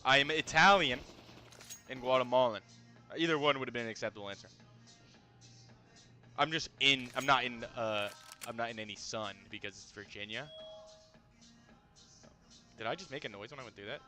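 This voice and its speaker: A male streamer talks over his game in a bright, sharp-edged voice that keeps jumping into loud, cursing outbursts. Faint music sits under his voice. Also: medium pitch, normal speed, pauses often. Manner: loud, profane, excitable outbursts. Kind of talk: casual live gameplay commentary.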